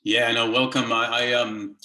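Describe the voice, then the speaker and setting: A man's voice: mid-range voice, flat delivery, unhurried, pushed and bright. A man hosting a casual interview on a video call in an upbeat, informal way. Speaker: interview host. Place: indoors on a remote video call. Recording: roomy, echoey room sound.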